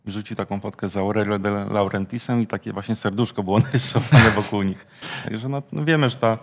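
A man's voice: fairly low pitch, steady tone, brisk, noisy background. A man talking football as a studio pundit, telling anecdotes in a hesitant way.

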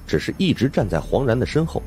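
A man's voice: mid-range voice, sing-song, fast, darkish tone, faint music behind. A man giving dramatic scripted narration of supernatural suspense fiction as an audiobook narrator.

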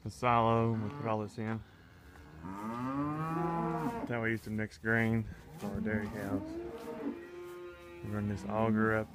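A man from the United States giving a casual, halting walkthrough of his farm equipment, filming on a handheld phone outdoors and in a barn. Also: on the low side. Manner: plain, halting, explains equipment step by step. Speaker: farmer giving a tour. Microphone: handheld phone camera. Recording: loud farm and machinery noise.

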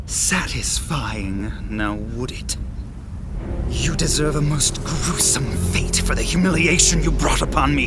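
Gloating villain, swooping theatrical voice. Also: male voice, a little high, heavily acted. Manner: mocking, drawn-out, theatrical. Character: gloating, sadistic, theatrical male villain with dark powers. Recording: music behind the voice.

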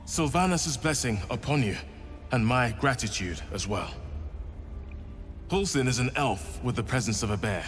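A man's voice: medium pitch, animated, moderate pace, natural voice. Man as anguished male druid, fantasy game, earnest, pleading, solemn, long reverb, music underneath. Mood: grateful, hopeful. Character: anguished, devout male druid, loyal to absent master. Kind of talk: dramatic fantasy game acting.

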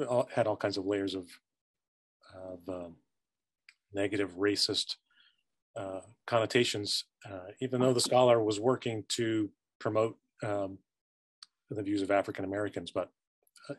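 A middle-aged man giving a measured, halting answer as an archivist in an online academic discussion. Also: on the low side, dry recording. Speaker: archivist panelist. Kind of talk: academic discussion on a video call. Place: book-lined office, indoors. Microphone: video call, close to computer.

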